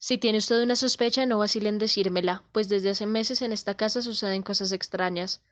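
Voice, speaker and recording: A woman's voice: mid-range voice, fairly even intonation, a little fast, natural voice. An actress playing a secretive, formal lady of the house, recounting events matter-of-factly in a radio drama. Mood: secretive, grave. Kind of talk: radio drama narrative monologue.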